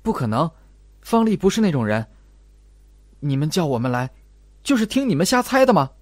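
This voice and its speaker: A man voicing a scornful, defensive young female student in an audiobook, with sharp, mocking retorts. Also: fairly high pitch, very animated, normal speed, dry recording. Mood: indignant. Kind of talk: audiobook character acting.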